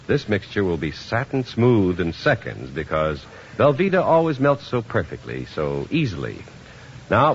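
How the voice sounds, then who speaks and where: A man giving a polished, persuasive commercial read as a 1950s radio announcer. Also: mid-range voice. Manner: polished, persuasive sales delivery. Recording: noisy, muffled 1950s radio recording.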